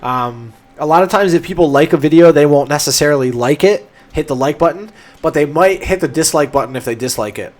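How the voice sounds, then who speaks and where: A young man talks through a live stream in an eager, explanatory way, often restarting his words. His nose is stuffed up from a cold, which makes him sound congested. His voice sits a little on the high side. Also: a little fast. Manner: enthusiastic, explanatory, frequent restarts. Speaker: livestream host answering chat questions. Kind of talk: casual livestream talk.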